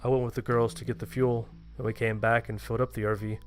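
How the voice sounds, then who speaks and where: A man's voice: mid-range voice, flat delivery, fast talker, soft background music. A man reading a scripted first-person horror story as its narrator.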